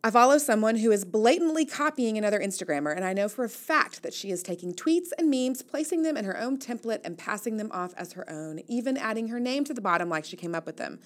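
A woman's voice: on the high side, expressive, fast, hardly any pauses. A woman hosting an audio newsletter, reading her casual commentary aloud in a wry, playful, conversational way.

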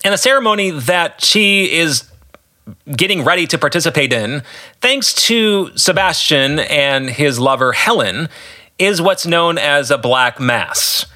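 A man giving solo podcast commentary as an author and host, explaining a story in deliberate, emphatic phrases with dramatic pauses. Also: a little high, lively intonation, bright, forceful tone.

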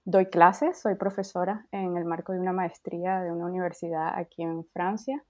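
A woman's voice: on the low side, steady tone, moderate pace, dry recording. Venezuelan woman in her 30s, dry close sound, chatty guest with lots of fillers. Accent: Venezuelan Spanish. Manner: warm, laughs lightly, many fillers. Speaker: podcast guest, designer. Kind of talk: casual interview chat. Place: home, in France.

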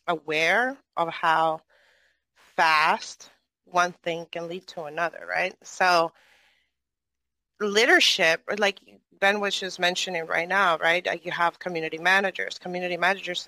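Chatty moderator from venezuela, keeps saying right?, tense bright voice. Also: mid-range voice, measured pace, no echo, rough recording. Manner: rambling, tags questions with "right?". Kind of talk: casual online audio chat.

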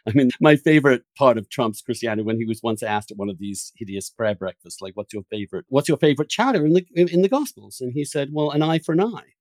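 A man hosting a podcast, talking through opinionated political points in a halting, emphatic way, restarting phrases as he goes. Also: medium pitch, expressive, darkish tone, no echo. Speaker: podcast host.

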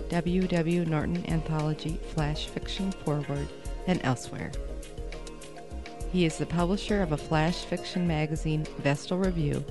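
A woman podcast host introducing authors and stories in scripted announcements. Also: deep voice. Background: music.

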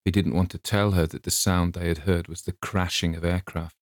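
A man's voice: sits low, level tone, a little fast, no room sound. A man reading scripted story narration as an audiobook narrator, recorded close.

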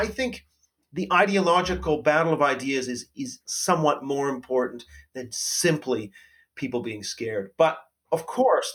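A man hosting a conversation, laying out his arguments in an articulate way and often letting his voice trail off quietly. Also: on the high side. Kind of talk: casual conversation.

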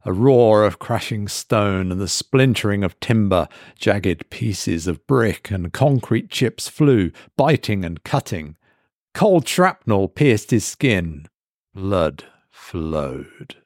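A man reading a scripted horror story as a dramatic narrator, measured and deliberate with pauses for effect. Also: lowish voice, animated, darkish tone, no room sound, studio quality.

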